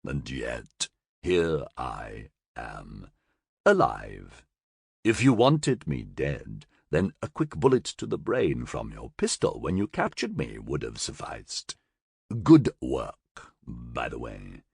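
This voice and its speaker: A man voices a gurgling half-animal monster in an audiobook, sardonic and taunting, dragging each line out. The tone sits deep and husky, with wide swoops of pitch. The room is dead dry. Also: wildly swooping pitch, slow pace, no room sound, full character voice.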